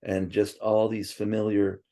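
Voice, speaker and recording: A man's voice: on the low side, completely flat delivery, measured pace, creaky, mellow. A man being interviewed, reminiscing casually and rambling with frequent restarts and asides, who grew up speaking Swedish first. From born in Princeton, raised partly in Tokyo.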